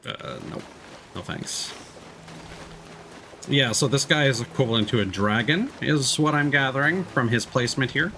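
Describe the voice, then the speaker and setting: A man's voice: medium pitch, normal speed, long pauses, slight background noise. A man livestreaming a video game with casual commentary, often muttering asides to himself as he plays. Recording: game music behind the voice. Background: loud video game music.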